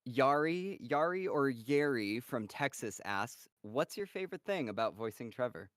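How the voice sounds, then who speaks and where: A man hosting a live-stream Q&A with a voice cast, reading out fan questions in a relaxed, upbeat way. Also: medium pitch, normal speed, no echo, studio quality. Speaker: moderator of a voice cast Q&A.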